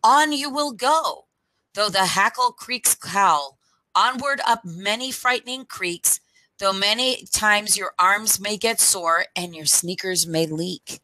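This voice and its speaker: Storytime reader: middle-aged woman, bright forward tone, unhurried deliberate phrasing, headset mic. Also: mid-range voice, no room sound, poor audio. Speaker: storyteller reading to children. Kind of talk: read-aloud picture book storytime. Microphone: headset microphone.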